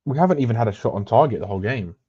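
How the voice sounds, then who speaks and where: Fast-talking husky man, dull lo-fi mic. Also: mid-range voice, lively intonation, dark tone, no echo. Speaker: guest co-commentator on fan stream. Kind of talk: casual football chat. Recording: dull, dry lo-fi microphone.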